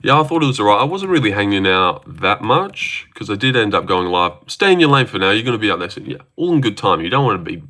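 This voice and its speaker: A man's voice: on the low side, sing-song, fast talker, hardly any pauses, pushed and bright. An Australian man hosting a casual livestream, answering viewer comments in a rambling, joking way with lots of fillers and restarts. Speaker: livestream host.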